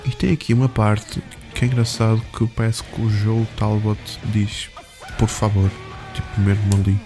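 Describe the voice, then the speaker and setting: Low creaky-voiced Portuguese man. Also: steady tone, moderate pace, over music. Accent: European Portuguese. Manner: informal, rambling, slangy. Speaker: music show host. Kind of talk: casual chat introducing songs.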